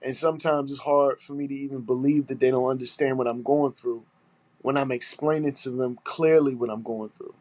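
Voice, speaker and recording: A man's voice: medium pitch, steady tone, a little fast, a little mellow, no room sound. A man with a stuffy nose, hosting a solo podcast and talking in an aggrieved, emphatic way, repeating his points and swearing. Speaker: podcast host.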